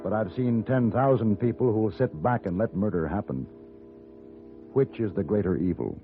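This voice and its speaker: Suave gunfighter, low expressive voice, philosophical, radio Western, tinny, music behind. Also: male voice. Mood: grave, reflective. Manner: measured, literate, wry. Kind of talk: 1950s radio Western acting and narration. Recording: tinny old radio broadcast.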